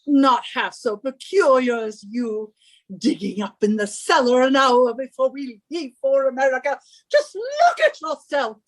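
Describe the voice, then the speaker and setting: A woman's voice: sits high, sing-song, unhurried, exaggerated voice, rough recording. A woman playing an imperious, henpecking wife in a radio suspense drama, nagging and scolding with theatrical emphasis. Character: bossy henpecking British wife, shrill and imperious.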